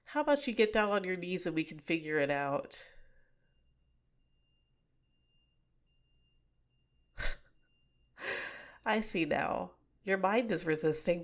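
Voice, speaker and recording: A young woman voicing a cute but manipulative female villain in a soft-spoken, teasing ASMR roleplay, recorded close to the mic. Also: fairly low pitch, lightly acted. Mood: teasing, taunting.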